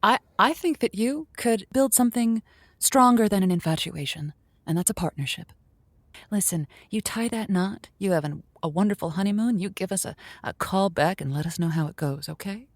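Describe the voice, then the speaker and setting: A woman's voice: mid-range voice, moderate pace, dry recording, lightly acted. Lady doing a perky folksy radio advice host, super encouraging, close dry mic. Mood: reassuring. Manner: effusive, earnest, reassuring. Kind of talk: improvised comedy call-in.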